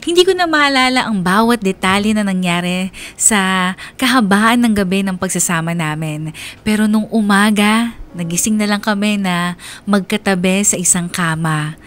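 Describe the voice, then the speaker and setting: A woman's voice: mid-range voice, moderate pace, fairly bright tone, natural voice. A woman playing a young woman who narrates her story confessionally in a radio drama. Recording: music bed behind the voice.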